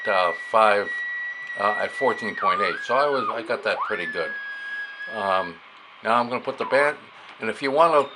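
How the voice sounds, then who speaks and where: A man giving a casual, unscripted hands-on radio demonstration, explaining things and thinking aloud as he works. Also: lowish voice. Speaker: amateur radio hobbyist demonstrating a receiver. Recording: clear background noise, radio static. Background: shortwave radio stations and static.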